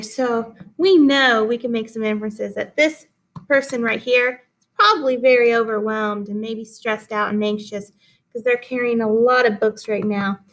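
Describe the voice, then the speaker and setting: Higher-pitched woman teaching a read-aloud lesson. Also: fairly bright tone. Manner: instructive, addresses listeners with guiding questions. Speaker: teacher reading poems aloud for pupils. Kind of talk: lesson read-aloud for children, explaining and asking questions.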